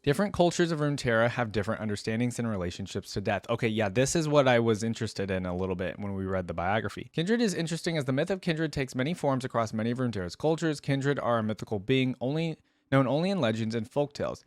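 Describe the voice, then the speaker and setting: A man's voice: medium pitch, expressive, fast, dry recording. A man giving casual reaction commentary, reading lore aloud and adding curious, informal asides as he goes. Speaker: reaction video creator.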